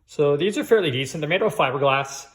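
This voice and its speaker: A man's voice: fairly high pitch, animated, fast talker, fairly bright tone. A man giving a casual, hands-on how-to walkthrough as a vlogger, in an informal way with conversational asides.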